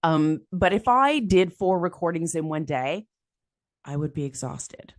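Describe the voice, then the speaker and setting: A woman hosting a solo podcast, raspy after being sick, talking in a chatty, upbeat way with lots of fillers and small laughs. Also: lowish voice. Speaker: podcast host. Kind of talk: solo podcast tips talk.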